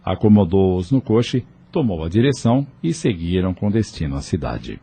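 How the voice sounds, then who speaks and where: A man's voice: deep voice, big pitch swings, moderate pace, warm tone, slightly rough. A man narrating an audio novel in a scripted, dramatic storytelling style. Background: faint music.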